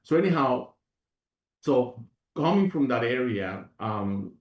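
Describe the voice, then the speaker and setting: Guest from Venezuela, earnest rambler with restarts, a bit far off the mic. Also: male voice, medium pitch, very animated, slow pace, echoey room, lo-fi sound. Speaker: guest, functional medicine practitioner. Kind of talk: reflective interview talk.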